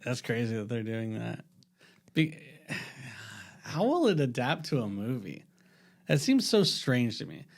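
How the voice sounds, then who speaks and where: A man's voice: mid-range voice, sing-song, measured pace, creaky, pauses often. A man talking casually as a podcast panelist, explaining things in an eager, repetitive way. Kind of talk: casual group discussion.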